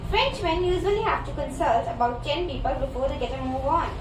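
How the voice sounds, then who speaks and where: A woman's voice: high voice, a little fast, natural voice. A young woman student playing a brusque, impatient American buyer in a stage comedy reading, blunt and demanding. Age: young adult. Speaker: student actor. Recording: distant mic, echoey room, loud noise.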